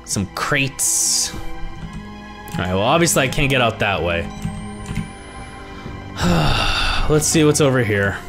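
A young man with a hoarse voice, commentating a horror game he is playing, swearing and reacting to scares. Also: medium pitch, lively intonation, slow, long pauses. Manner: reactive, swearing, jumpy exclamations. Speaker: gaming YouTuber. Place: small room at home. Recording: game music behind the voice.

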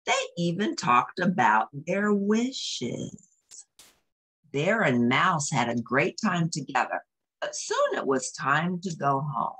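A woman's voice: low voice, big pitch swings, slow, a bit bright, breathy edge. A woman reading a picture book aloud to young children, explaining it in simple phrases. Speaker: children's story reader.

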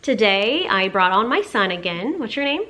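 A woman in her thirties reading to children as a story time host, with expressive, clearly phrased delivery. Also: medium pitch, moderate pace. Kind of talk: children's picture book read-aloud.

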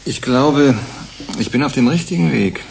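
An actor playing a persistent commission investigator in a German radio drama, questioning with deliberate emphasis. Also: male voice, medium pitch, expressive, vocal fry. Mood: thoughtful. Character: male UN commission investigator, probing, measured, persistent. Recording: faint background noise.

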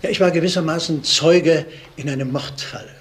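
An actor playing a courteous, hesitant elderly pensioner with a formal way of talking in a German crime radio play. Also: male voice, on the high side, normal speed, slightly rough. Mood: polite, proud. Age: 60s or older. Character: polite, fussy old male pensioner and hobby photographer.

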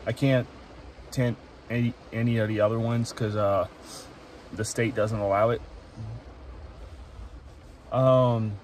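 A man's voice: mid-range voice, flat delivery, takes it slowly, long pauses, darkish tone. A young man in his twenties giving a casual vlog update from inside a parked car, hesitant with many fillers and restarts. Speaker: vlogger. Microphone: phone camera close to face. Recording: faint rain noise in car. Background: rain and car ambience.

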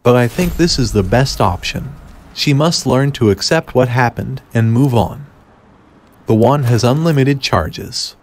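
Male guide narrator: mid-pitched, measured, instructive walkthrough read over game ambience. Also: expressive, a little hiss or hum. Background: video game ambience.

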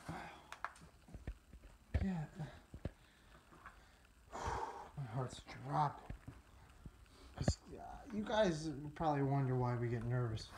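A man's voice: mid-range voice, lively intonation, takes it slowly, pauses often. Nervous young man on noisy handheld recording. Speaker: video host exploring abandoned houses. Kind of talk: casual handheld exploration commentary. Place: inside a cluttered abandoned house.

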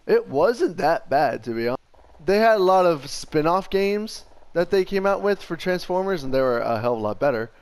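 A man's voice: a little high, very animated, moderate pace, darkish tone, clear, resonant tone. A young man chatting casually while playing a video game, slangy and sweary, peppering his talk with "like". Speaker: gaming show host.

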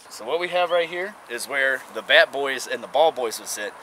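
A man's voice: medium pitch, animated, moderate pace, bright, forceful tone, rough recording. A young man giving a casual, folksy guided tour to camera, recorded outdoors at an abandoned baseball stadium. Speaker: urban exploration vlogger. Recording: outdoor camera audio with noise.

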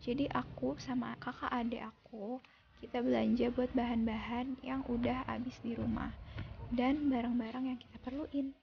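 A young woman from Indonesia narrating her daily vlog as a calm, unhurried voice-over, recorded separately in a quiet room. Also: fairly high pitch, vocal fry, lo-fi sound, a little hiss or hum. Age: young adult. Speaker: vlogger. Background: soft background music.

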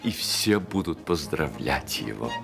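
A man narrating a radio drama as scripted literary storytelling, in a measured manner. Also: extremely low pitch, big pitch swings, creaky, over loud music.

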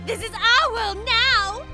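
A woman's voice: very high-pitched, full character voice. A woman voicing a haughty, cruel three-headed space dragon queen with theatrical, imperious delivery in a cartoon comic dub. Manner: imperious, theatrical, slow declaiming.

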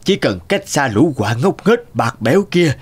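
A man's voice: fairly high pitch, sing-song, moderate pace, breathy edge. A man voicing a wily old male crow in a cartoon fairy tale, deferential, pleading and hesitant. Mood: humble pleading.

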